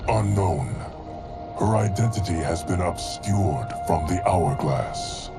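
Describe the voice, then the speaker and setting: A man's voice: deep voice, expressive. An actor playing a grave, ancient male keeper of time in a game cutscene. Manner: grave, deliberate. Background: orchestral game music.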